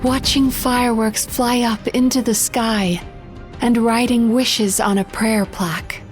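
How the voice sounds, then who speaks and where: An actress playing a gentle, sincere female character in a video game. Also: on the high side, fairly even intonation, measured pace, faint music behind, lightly acted.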